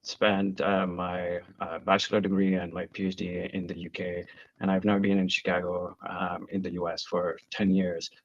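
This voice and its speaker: A man's voice: low-pitched, little pitch movement, normal speed, no room sound, muffled recording. A man from Belgium answering interview questions, hesitant with many fillers. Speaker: guest.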